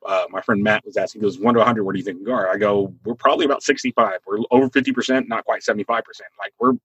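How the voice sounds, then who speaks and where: Male, 40s, American. Husky, close dry sound, conversational, opinionated, thinks out loud. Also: on the low side, fairly even intonation, racing, dry recording, dull audio.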